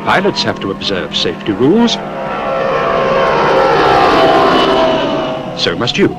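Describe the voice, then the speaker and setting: A British actor playing a knowing, patient but stern safety teacher, explaining and admonishing in a scripted public information film. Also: male voice, lowish voice, huge pitch swings, loud music behind the voice. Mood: instructive, cautionary. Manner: instructive, admonishing, measured explanations. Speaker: safety film narrator and instructor.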